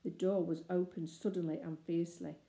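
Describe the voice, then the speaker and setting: A woman reading a children's novel aloud in expressive, scripted narration. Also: fairly low pitch, brisk, creaky. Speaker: storybook reader. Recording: echoey, roomy sound.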